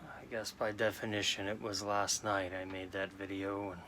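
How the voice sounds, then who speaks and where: A man in his late forties from New York, talking slowly and haltingly in a sad, subdued way as a sports card vlogger. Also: low-pitched, little pitch movement, pushed and bright, slight background noise. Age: 40s. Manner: halting, subdued, many restarts. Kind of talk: casual personal talk to camera.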